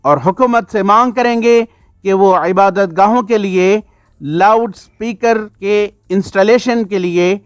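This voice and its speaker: A man narrates a scripted news report in a high voice. His pace is slow and his delivery formal and measured. Soft music plays underneath. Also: clean tone, dry recording.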